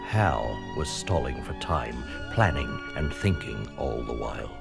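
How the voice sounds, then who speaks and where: A man's voice: very deep voice, very animated, a little slow, vocal fry. A man narrating a children's read-along story in a dramatic, scripted storytelling style. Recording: music under the voice.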